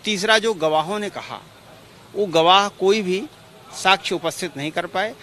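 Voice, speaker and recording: A man's voice: high voice, normal speed, bright, forceful tone. A man, a lawyer giving formal, repetitive explanations in a press interview. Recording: outdoor street noise behind.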